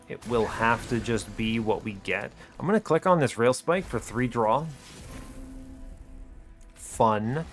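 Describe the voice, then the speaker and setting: A man's voice: medium pitch, fairly bright tone. A man hosting a gaming video, giving casual play-by-play in short, understated, dry remarks. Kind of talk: casual gameplay commentary. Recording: music behind the voice.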